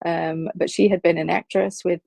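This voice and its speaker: Gravelly, husky woman professor. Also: lowish voice, level tone, dark tone, dry recording, studio quality. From England, Liverpool. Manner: thoughtful, many fillers and pauses. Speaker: film studies professor, guest. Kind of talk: conversational interview answers.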